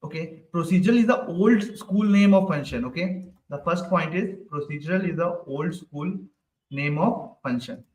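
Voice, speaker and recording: High-voiced man teaching online. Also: moderate pace, a little mellow. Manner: repeats questions to the class, ends lines with "right?". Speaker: programming instructor. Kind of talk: live online coding class. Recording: echoey room, mic at a distance.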